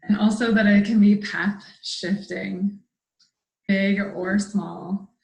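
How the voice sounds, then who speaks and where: Female interviewer, medium pitch, casual and affirming, musing conversational delivery, roomy echo. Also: steady tone, takes it slowly, vocal fry, darkish tone. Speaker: interviewer, host. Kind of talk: casual chat. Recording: echoey room sound.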